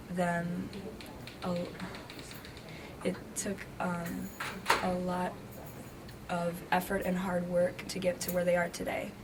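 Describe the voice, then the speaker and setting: A young woman whose first language is Greek, a graduating student answering interview questions hesitantly with many pauses. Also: on the low side. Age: young adult. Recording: noisy background.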